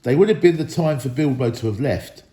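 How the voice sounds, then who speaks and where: A man's voice: mid-range voice, animated, a little fast, dark tone. A man reading a story aloud as a dramatic narrator. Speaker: school staff member reading aloud. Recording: echoey room.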